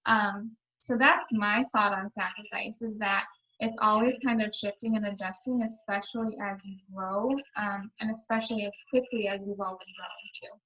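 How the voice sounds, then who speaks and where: A woman's voice: mid-range voice, fairly even intonation, normal speed, sharp tone, poor audio. A woman leading a casual group call, thinking aloud through her points in a reflective way. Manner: reflective, talks through questions to herself. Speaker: team leader in direct sales.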